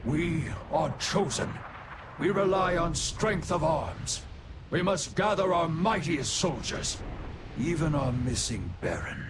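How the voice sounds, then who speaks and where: A man playing a proud, scornful undead soldier in a fantasy game, forceful and theatrical. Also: fairly high pitch, expressive, slow. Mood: defiant. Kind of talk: dramatic fantasy game dialogue. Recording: noisy game ambience behind.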